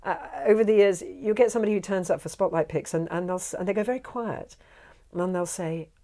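A woman's voice: mid-range voice, fast talker. A woman in her fifties with an English accent, a guest telling anecdotes in a casual studio chat on a lapel mic. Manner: warm storytelling, acts out quotes, laughs easily. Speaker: guest, narrator and director. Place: small studio lounge with shelves of toys.

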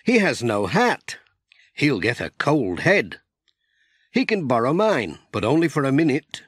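A man's voice: mid-range voice, sing-song, unhurried, husky, dry recording, clean studio sound. A man voicing a kindly, chatty male village postman in a children's storybook. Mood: friendly.

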